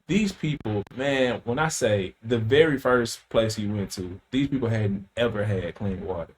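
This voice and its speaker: A man's voice: on the low side, measured pace, low-quality recording. A man hosting a casual podcast, telling a story with loose slang, profanity and plenty of fillers. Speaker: podcast host.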